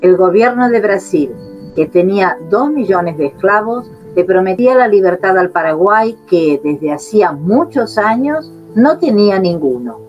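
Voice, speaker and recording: A woman narrating a radio drama in a somber, steady way. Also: mid-range voice, moderate pace. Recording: dull lo-fi audio with faint guitar music.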